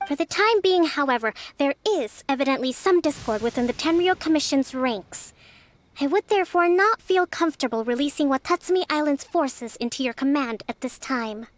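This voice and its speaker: A woman playing a shrewd, composed young leader in a video game, speaking in a polite, measured and formal way. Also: high-pitched, animated. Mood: composed, tactful.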